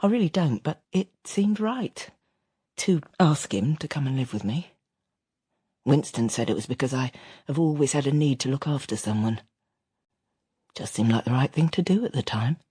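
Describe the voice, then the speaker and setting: A man's voice: medium pitch, animated, normal speed, warm tone, breathy edge, no echo, studio quality. An actor playing a reflective grown son, confiding wistful memories of an absent father in an intimate radio drama monologue. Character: reflective adult son recounting his estranged father.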